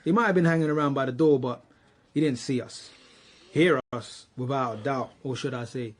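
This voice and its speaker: An actor playing a swaggering eighteen-year-old suspect, slangy and cheeky, in a radio drama. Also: male voice, medium pitch, moderate pace, natural voice. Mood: smug, flippant. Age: young adult. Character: cocky eighteen-year-old male street youth, insolent murder suspect. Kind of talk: naturalistic radio drama acting.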